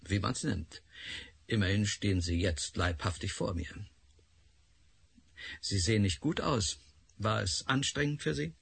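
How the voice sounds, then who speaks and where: A cold, gravelly professor. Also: male voice, lowish voice, a little slow, long pauses, no room sound, heavily acted. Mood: cold mocking. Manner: cool, mocking, superior. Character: cold, sardonic male professor in charge. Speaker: Professor Bergson. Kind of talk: radio drama acting.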